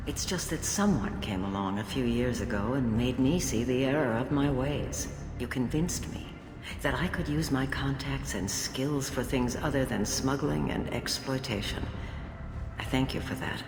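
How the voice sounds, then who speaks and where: A roomy, reverberant game recording with clear background noise carries a reformed female crime boss. She is composed and courteous, with measured, formal and articulate phrasing. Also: a bass voice. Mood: grateful. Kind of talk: video game dialogue acting.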